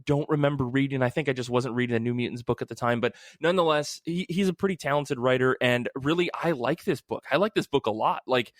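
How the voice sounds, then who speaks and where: A man's voice: medium pitch, quick pace, hardly any pauses, no echo. Casual male podcast host, dry close sound. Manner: rambling, enthusiastic, informal. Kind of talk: casual comic book podcast chat.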